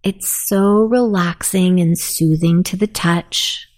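A woman narrating a guided sleep meditation in a soothing, softly spoken, unhurried manner. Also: fairly low pitch, steady tone.

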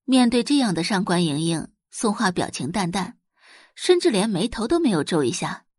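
A female audiobook narrator tells a story with widely moving intonation. The voice has a husky grain and is recorded close and dry, in a scripted, expressive storytelling style. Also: mid-range voice, moderate pace, no echo.

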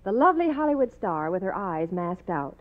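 A woman's voice: mid-range voice, very animated, fairly quick. An American woman presenting a scripted 1950s radio commercial with polished enthusiasm. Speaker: commercial announcer. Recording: tinny vintage radio recording.

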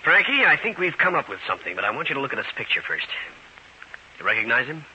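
An actor playing a wisecracking New York private detective in an old radio drama, terse, slangy and wry. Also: male voice, mid-range voice, big pitch swings, fast, pushed and bright. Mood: businesslike. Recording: dull, hissy old radio recording.

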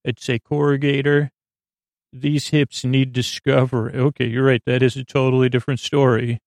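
A man with a slightly low, gravelly voice full of fry hosts a bedtime podcast, recorded very dry. He meanders haltingly, losing his thread and circling back. His manner is whimsical and reassuring. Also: little pitch movement, a little mellow, no room sound. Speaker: podcast host. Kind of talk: rambling bedtime podcast monologue.

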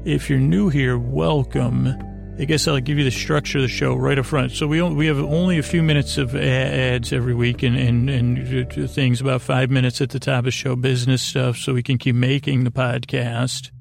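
A man with a creaky, gravelly voice hosting a bedtime podcast in a meandering, halting, lulling monologue that repeats itself and trails off. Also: mid-range voice, little pitch movement, soft background music. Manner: lulling, soothing delivery. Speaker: podcast host. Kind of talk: rambling bedtime storytelling monologue.

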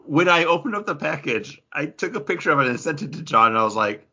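A man's voice: on the high side, sing-song, fast, bright, forceful tone, breathy edge, muffled recording. A man chatting casually and jokingly as a podcast host. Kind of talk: casual banter on a comics podcast.

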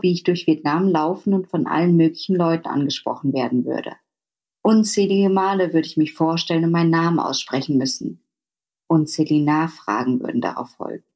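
Author reading, woman, slightly low husky voice, literary first-person prose. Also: darkish tone. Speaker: author reading from own novel.

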